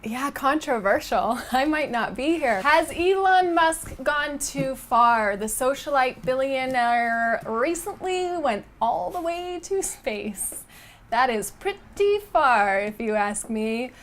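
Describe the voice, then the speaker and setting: A woman's voice: high voice, lively intonation, slow pace, fairly bright tone. A woman co-hosting a comedy talk show, reading mock news headlines in a playful way. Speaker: co-host.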